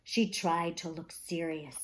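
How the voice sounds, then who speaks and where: A woman reading a children's story aloud with expressive, dramatic, emphatic narration. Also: deep voice, takes it slowly, slight background noise.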